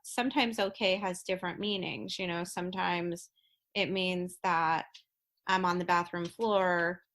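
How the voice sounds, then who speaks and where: A woman in her late thirties, a podcast guest speaking in a halting, heartfelt way with many restarts and fillers. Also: lowish voice, steady tone, fairly bright tone. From Florida. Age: 30s. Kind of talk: casual podcast conversation.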